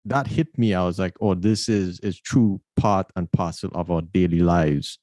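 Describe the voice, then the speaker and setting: A man's voice: sits low, normal speed, mellow, no room sound. A man hosting an interview, talking thoughtfully and telling stories, often repeating words as he starts his sentences. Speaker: podcast host. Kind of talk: casual interview conversation.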